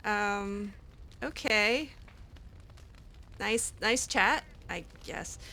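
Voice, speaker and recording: Bright, hesitant woman roleplaying a game character. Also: medium pitch, drawn-out words. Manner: hesitant and rambling, with many fillers and restarts, a bit snarky. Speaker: gaming roleplay host.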